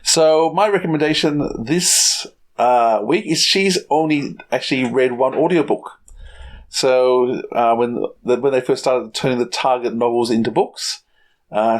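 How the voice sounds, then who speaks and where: Casting: male co-host, middle-aged, mid-range voice, informal chat. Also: mid-range voice. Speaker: podcast co-host. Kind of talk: casual podcast chat.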